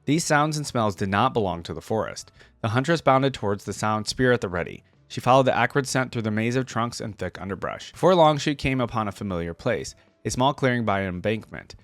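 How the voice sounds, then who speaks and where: Man, medium pitch, informal reaction host, story reading with loose asides, dry studio-like sound. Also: expressive, fairly quick, no room sound, faint music behind. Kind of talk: reading stories aloud with casual reaction commentary.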